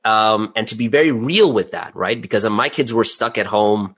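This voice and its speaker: A man's voice: lowish voice, normal speed, fairly bright tone, slightly rough. Candid man telling his own story. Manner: candid, self-deprecating, chuckles while talking. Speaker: interview guest, audio drama creator.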